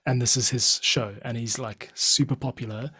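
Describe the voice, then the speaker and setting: A man's voice: medium pitch, fairly even intonation, moderate pace, sharp tone, slightly rough. A man hosting a podcast in casual chat, laid-back and slangy, calling his guest dude. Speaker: podcast host.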